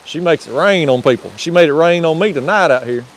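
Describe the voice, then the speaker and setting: A man's voice: a little high, animated, quick pace. A man hosting a casual livestream outdoors on a windy lake bank, laid-back and joking. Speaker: livestream host.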